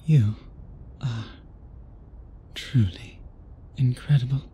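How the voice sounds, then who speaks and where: A man playing an obsessive, courtly vampire suitor in an intimate ASMR roleplay, breathy and husky, with formal old-fashioned phrasing. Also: mid-range voice, slow, mellow, a little hiss or hum, natural voice. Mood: tender, apologetic. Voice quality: breathy, husky, often whispery.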